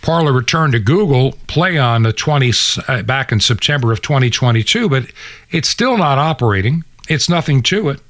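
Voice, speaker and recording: A man's voice: medium pitch, lively intonation, normal speed, fairly bright tone, no echo, clean studio sound. A man hosting a radio show, delivering an opinionated political monologue in an emphatic, conversational way with rhetorical asides. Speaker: radio and podcast host.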